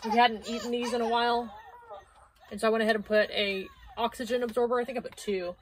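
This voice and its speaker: A woman's voice: on the high side, fairly even intonation, fast talker. Thirty-something woman chatting away while she sorts cans in a small pantry, noisy camera audio. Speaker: prepper vlogger. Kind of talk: casual talk while organizing a pantry. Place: small home pantry with shelves, indoors. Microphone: camera on a low shelf, a few feet away.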